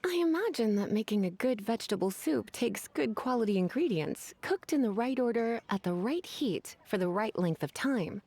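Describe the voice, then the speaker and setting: Casting a coquettish, refined witch librarian for a game: highish, very expressive pitch, teasing delivery. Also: moderate pace, hardly any pauses. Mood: musing. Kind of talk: video game voice acting.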